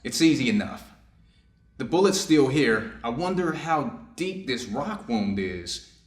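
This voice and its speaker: A man's voice: medium pitch, sing-song, measured pace. A man playing a stern, conflicted Japanese doctor treating a wounded enemy in a staged play reading. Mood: stern, tense. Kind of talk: staged readers' theater acting. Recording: echoey room.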